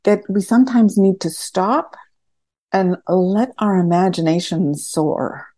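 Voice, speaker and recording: A woman giving a reflective interview as a series creator, thoughtful and halting as she searches for words. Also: mid-range voice, mellow, dry recording, clean studio sound. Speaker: theatre podcast series creator.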